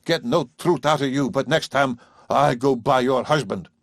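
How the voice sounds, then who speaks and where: A man voicing a gruff, bullying old farmer with a whining, rough country dialect. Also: mid-range voice, moderate pace, dry recording, studio quality, pitched above the performer's own voice, natural voice. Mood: angry, sneering.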